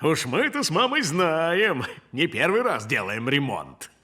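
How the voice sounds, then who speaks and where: A man's voice: fairly high pitch, sing-song, a little slow, sharp tone, full character voice. A man voicing a cheerful, easygoing father cat in a children's cartoon, jovial and exclamatory. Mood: confident.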